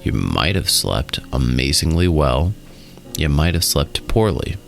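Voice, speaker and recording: A man narrating a guided relaxation in a soothing, instructional way, in short phrases with pauses. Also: rumbling low, big pitch swings, vocal fry. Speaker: guided meditation host. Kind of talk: guided meditation and hypnosis narration. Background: music.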